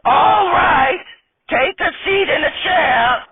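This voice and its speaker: Shrill old granny, ninety-five, cheeky and bossy, slow quavering drawl, tinny phone-like sound. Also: female voice, lively intonation, no echo, raised voice, heavily acted. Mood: brassy. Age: older. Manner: high quavering scolding old-lady voice, drawn out.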